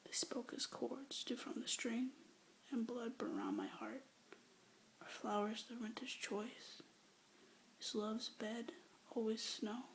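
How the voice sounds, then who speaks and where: A young woman reads love poems in a soft, breathy whisper, her voice pitched a little high and catching in a gravelly fry. Between poems she rambles hesitantly, recording close to a phone or webcam. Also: expressive, slow pace, long pauses, poor audio. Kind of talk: whispered poetry reading, ASMR-like.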